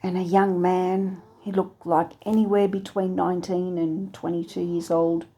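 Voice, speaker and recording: Soft-toned, husky woman telling a dream. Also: lowish voice, little pitch movement. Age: adult with a three-year-old daughter. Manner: earnest, halting, searching for words. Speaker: channel host recounting a dream. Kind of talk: personal dream testimony.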